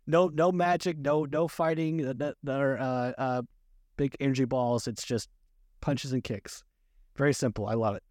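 A man co-hosting a podcast, chatting informally and haltingly about films and games. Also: medium pitch, steady tone, darkish tone, dry recording, clean studio sound. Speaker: podcast co-host. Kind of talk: casual movie and game discussion.

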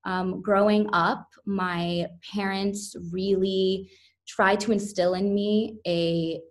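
A woman's voice: medium pitch, little pitch movement, takes it slowly. A young woman from the United States, a podcast guest, talking earnestly and reflectively with frequent restarts. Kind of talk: interview conversation.

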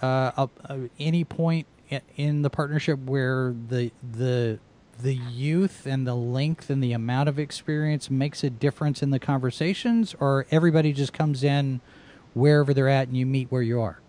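A man's voice: mid-range voice, steady tone, moderate pace, dark tone. Rambling male interviewer. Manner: rambling, searching questions with restarts. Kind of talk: interview questions.

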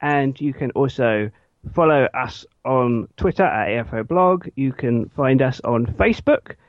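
A man's voice: mid-range voice, expressive, measured pace, no room sound, phone-quality sound. A man hosting a podcast in casual chat, informal and self-deprecating in manner. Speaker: podcast host.

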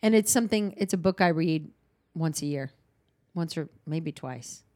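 A woman hosting a podcast in casual conversation, her voice sitting on the low side. She talks candidly and haltingly, restarting sentences and reaching for fillers as she works out her thoughts. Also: animated, mellow. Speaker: podcast host and counselor.

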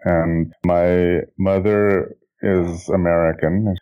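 Very deep-voiced reflective man, lo-fi. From Indonesia. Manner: reflective, restarts sentences, chuckles often. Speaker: guest, children's book author and graphic designer. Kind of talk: relaxed interview conversation. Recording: dull lo-fi audio.

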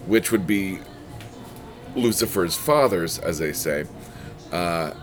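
Very deep-voiced man, music behind. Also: expressive, slow. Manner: rambling, crude jokes, many fillers. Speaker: podcast co-host. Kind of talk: casual chat. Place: restaurant. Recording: podcast recorded in a restaurant with music behind.

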